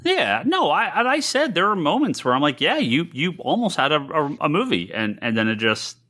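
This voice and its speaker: A man co-hosting a podcast, giving blunt, exasperated opinions about movies in a casual, halting way. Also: fairly high pitch, big pitch swings, pushed and bright. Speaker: podcast co-host.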